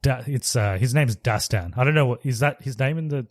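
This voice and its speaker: A man's voice: mid-range voice, fairly quick, no room sound, clean studio sound. A man co-hosting a podcast, chatting casually about films, rambling and hedging with frequent laughs. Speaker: podcast co-host. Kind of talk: casual movie review chat.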